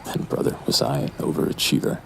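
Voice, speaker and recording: A man playing a brooding, lustful, menacing narrator in a horror audio drama, with slow, deliberate, breathy delivery. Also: background noise, natural voice. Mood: brooding, smug. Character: brooding, lustful, menacing male narrator recounting a dark memory.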